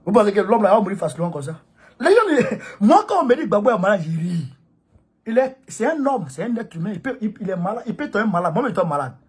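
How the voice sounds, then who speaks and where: Husky man. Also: sits high, very animated, quick pace. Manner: emphatic, repetitive, preachy. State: has a cold. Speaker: political activist addressing supporters. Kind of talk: impassioned political monologue.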